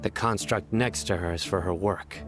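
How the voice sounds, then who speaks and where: A man's voice: low voice, normal speed, close to an everyday voice. A man playing a stern, wary young general in an anime game, speaking in a clipped, grave, commanding manner. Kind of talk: anime game cutscene dialogue. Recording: faint music behind the voice.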